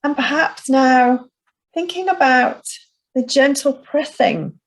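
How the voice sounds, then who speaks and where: Woman relaxation instructor, unhurried and soft-spoken, guiding a calm session. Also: sits high, poor audio. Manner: soft, slow and soothing. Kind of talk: guided self-soothing session.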